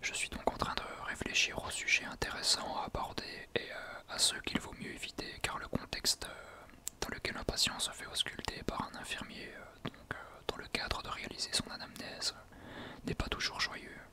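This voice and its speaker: A man speaking in a breathy, unvoiced whisper, talking gently and hesitantly to listeners in an ASMR style. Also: normal speed. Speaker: ASMR video creator, host.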